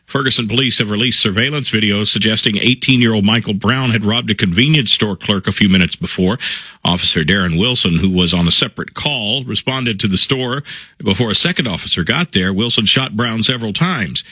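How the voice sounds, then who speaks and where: Male radio news anchor, bright husky tone, dry close sound, steady read. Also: lowish voice, fairly quick, no echo, poor audio. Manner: steady, crisp announcer delivery. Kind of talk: news reading.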